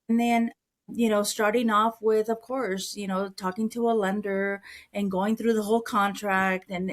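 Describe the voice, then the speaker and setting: A middle-aged woman from Mexico, a real estate broker answering interview questions in an earnest, conversational way, often repeating words as she thinks. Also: medium pitch.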